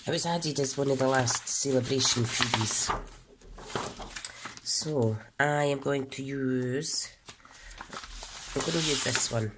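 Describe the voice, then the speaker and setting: A woman's voice: extremely low pitch, takes it slowly, pauses often, heavy background noise. A woman from the UK demonstrating a papercraft project, congested and coughing, chatty and correcting herself as she goes. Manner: chatty, stops to self-correct and explain. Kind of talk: casual craft tutorial.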